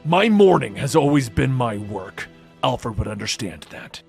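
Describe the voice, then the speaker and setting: A man's voice: mid-range voice, sing-song, a little slow, creaky, faint music behind, voice pushed down. A man voicing a grim, defiant superhero in a curt manner for a comic-book story. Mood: grim determination.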